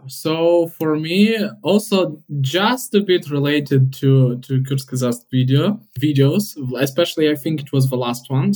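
A man's voice: on the high side, a little mellow. A young man in his twenties who is a podcast guest, speaking in a thoughtful, hesitant but enthusiastic way. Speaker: podcast guest, software developer. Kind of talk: casual podcast chat.

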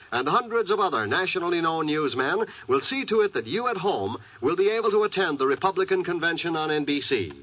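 A man's voice: fairly high pitch, breathy edge, no echo. An American man announcing a network promo in the formal style of 1950s radio. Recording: muffled, dry vintage radio recording.

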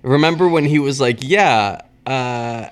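A man's voice: mid-range voice, measured pace, clean tone. A man co-hosting a podcast in casual chat, rambling and hesitating as he searches for words. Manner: rambling, hesitant, lots of fillers. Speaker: podcast co-host.

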